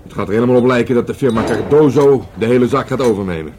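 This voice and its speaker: A man's voice: medium pitch, racing, warm tone, a little hiss or hum, close to an everyday voice. A man acting as a sarcastic, hot-headed police sergeant in a radio play, sputtering with indignation. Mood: indignant.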